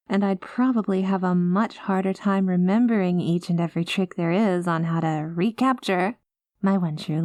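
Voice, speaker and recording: A woman's voice: mid-range voice, dry recording, lightly acted. Woman acting a sweetly menacing, possessive girlfriend, close and dry. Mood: unhinged, resolute. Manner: saccharine pet names laced with threats, theatrical. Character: obsessive possessive yandere girlfriend, sweetly menacing, long reformed, unraveling. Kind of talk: scripted ASMR roleplay audio drama, voicemail monologue.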